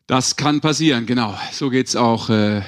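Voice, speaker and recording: A man's voice: medium pitch, normal speed. A man hosts a casual sports podcast with a mid-range voice. He talks in a chatty, colloquial manner, rambling his way through questions and asides.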